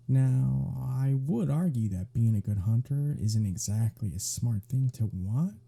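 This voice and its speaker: A man's voice: medium pitch, vocal fry, mellow, slightly rough, heavily acted. A man playing a smug, centuries-old vampire in an intimate ASMR roleplay, teasing with a sarcastic drawl. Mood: wry, exasperated.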